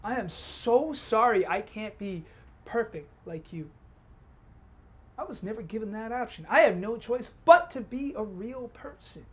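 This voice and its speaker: A woman playing a defensive, ambitious playwright in a film drama, husky, halting and profane in a naturalistic way. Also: fairly high pitch, animated. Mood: bitter. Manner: halting, profane, self-justifying. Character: defensive, ambitious playwright fleeing a long relationship.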